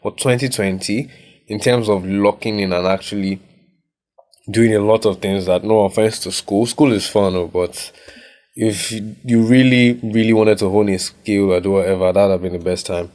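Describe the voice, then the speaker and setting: A young Nigerian man co-hosting a podcast in a studio, talking in a relaxed, reflective way and often checking in with "right?". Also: on the low side, normal speed. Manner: reflective, tags points with "right?". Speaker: podcast co-host. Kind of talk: casual podcast chat. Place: recording studio.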